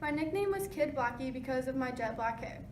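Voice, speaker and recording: Casting: woman playing 1920s boxing champ in mock interview, high voice, scripted answers, distant in an echoey room. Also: animated, a little fast, noisy background. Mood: matter-of-fact.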